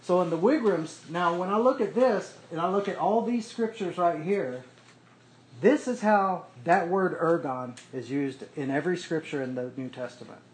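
A man's voice: fairly high pitch, moderate pace. Man teaching a Bible study, checking in often. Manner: explains step by step, checks in with "okay?" often. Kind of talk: casual teaching to a small group. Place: room with a small group. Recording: echoey room, faint background noise.

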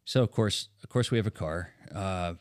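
An older man with a low voice tells stories in an animated, joking way as a podcast guest. The sound is close and dry, with no room echo. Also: moderate pace. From Netherlands. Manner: animated, jokey storyteller. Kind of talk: casual interview chat.

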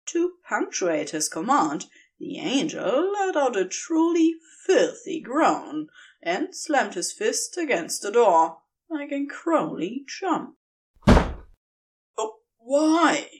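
Female fiction reader, slow and deliberate, with dramatic, animated swings in delivery. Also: a little high. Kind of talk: expressive scripted story narration.

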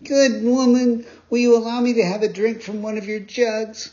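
A man voicing an impulsive wooden puppet boy who pleads and stammers in a high, whining voice. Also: little pitch movement, moderate pace, warm tone. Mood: pleading. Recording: dull lo-fi recording.

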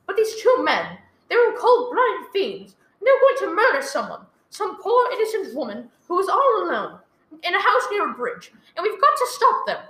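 A woman's voice: extremely high pitch, huge pitch swings, moderate pace, fairly bright tone, heavily acted. A woman playing a nervous, demanding invalid wife in a melodramatic radio play. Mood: horrified, frantic. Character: nervous, imperious invalid wife, shrill and frantic. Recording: echoey live room recording.

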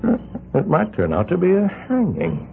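A man's voice: high voice, huge pitch swings, normal speed, creaky, slight background noise, full character voice. An actor playing a secretive island man who guards his land with a rifle, polite on the surface and clipped when giving orders. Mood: sly courtesy. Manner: politely ominous, then clipped and commanding. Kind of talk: 1970s radio drama acting. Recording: narrow-band 1970s radio broadcast.

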